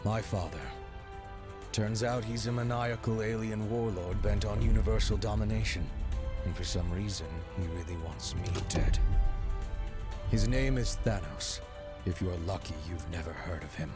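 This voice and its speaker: A man's voice: fairly low pitch, normal speed, music in the background, close to an everyday voice. An actor playing a young man hunted by his tyrant father, wry and weary in a video game. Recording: game cutscene over a score.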